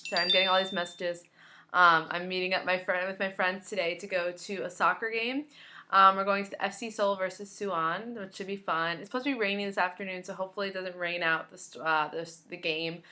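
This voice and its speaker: A young woman talks casually to her viewers, filling her sentences with ums and likes. Her voice is a little hoarse from overuse, yet her tone stays bright and sharp. She sits a bit lower in pitch than most women. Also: steady tone, fairly quick. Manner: chatty, many ums and likes. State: slightly hoarse, losing her voice. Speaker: vlogger. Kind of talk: casual chat to camera.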